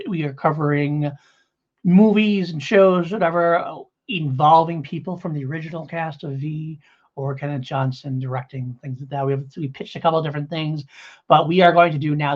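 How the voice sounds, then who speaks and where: A man's voice: a little high, muffled recording. A middle-aged man hosting a casual review podcast, talking in a rambling way and correcting himself as he goes. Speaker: podcast host.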